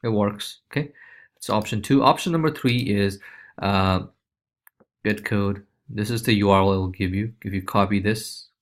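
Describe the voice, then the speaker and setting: Low-pitched man giving a casual software tutorial. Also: moderate pace. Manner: informal, loose, repeats check-in tags like okay and right. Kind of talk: casual screencast walkthrough.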